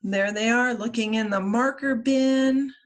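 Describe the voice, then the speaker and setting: A woman reading a children's storybook aloud as a librarian at story time, with expressive, deliberate phrasing. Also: fairly high pitch. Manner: expressive, deliberate phrasing with acted-out character lines.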